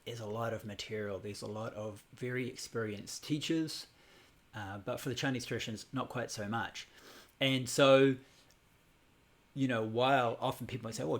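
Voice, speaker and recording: A man from New Zealand in his forties, teaching a Buddhism class over a casual livestream in a relaxed, rambling way with many fillers and restarts. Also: lowish voice, creaky. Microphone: computer or phone livestream.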